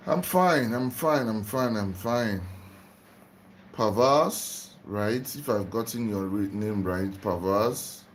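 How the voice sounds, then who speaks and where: A young man from Nigeria who has just woken up, livestreaming about spirituality in a slow, repetitive way and greeting viewers by name. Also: on the low side, a little hiss or hum. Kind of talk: casual livestream talk on spirituality.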